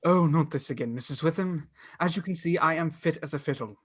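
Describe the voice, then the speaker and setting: A man acting in a radio play as a bookish, excitable young student with a theatrical, formal delivery. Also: fairly high pitch, a little fast. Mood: breezy, dismissive.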